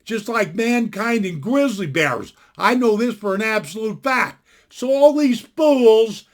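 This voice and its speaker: A man's voice: high voice, animated, a little slow. A man in his sixties talking casually from his home into a desktop mic, giving a blunt, folksy, opinionated rant that rambles and restarts often. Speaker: vlogger. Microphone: desktop computer mic.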